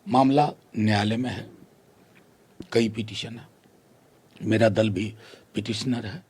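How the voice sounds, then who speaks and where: A man with a very raspy voice making a measured political statement for his party. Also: on the low side, fairly even intonation, darkish tone.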